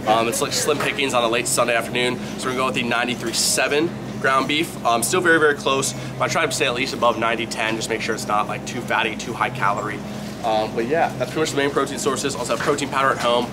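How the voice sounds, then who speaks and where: A man's voice: mid-range voice, fairly even intonation, heavy background noise. A congested young man with a nasal voice, chatting casually as he walks through a grocery store holding his camera. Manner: chatty, quick, rambling asides. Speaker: fitness vlogger. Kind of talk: casual vlog walkthrough. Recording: loud background music.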